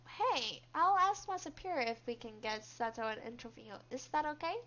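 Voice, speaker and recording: Awkward, friendly young office guy read by a woman, chirpy and eager, high, bright pitch, visual novel. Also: animated, normal speed. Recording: dull, lo-fi microphone.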